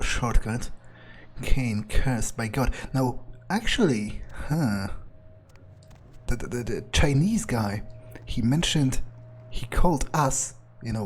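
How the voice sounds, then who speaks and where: A man's voice: medium pitch, darkish tone. A man narrating a game playthrough in character, thinking aloud in a musing, casually profane way. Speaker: gamer playing in character.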